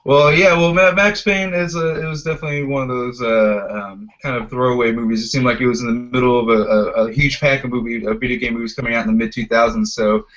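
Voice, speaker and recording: A man's voice: medium pitch, steady tone, fast, hardly any pauses, fairly bright tone. A man hosting a casual podcast discussion, chatting through dull, lo-fi audio. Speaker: podcast host.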